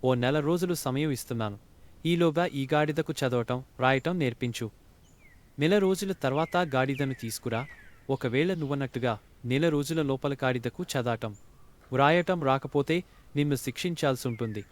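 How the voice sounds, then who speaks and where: A man's voice: medium pitch, moderate pace, slight background noise. A male storyteller narrates a scripted folk tale. His voice sits in a middle register.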